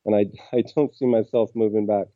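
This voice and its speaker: An American man speaking in a low, flat voice, stopping often on ums and small restarts as he explains his work. The sound is dry and somewhat dull, as if recorded close in a quiet room with limited clarity. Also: a little fast, dry recording. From United States. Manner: hesitant, many ums and restarts. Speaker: game company representative. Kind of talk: interview answers.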